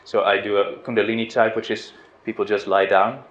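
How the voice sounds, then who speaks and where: A middle-aged man from the Netherlands giving an informal spiritual talk, relaxed and hesitant, with restarts and soft laughs. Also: fairly low pitch, brisk. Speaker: spiritual teacher. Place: outdoors on a river boat. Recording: roomy, dull lo-fi sound with faint noise.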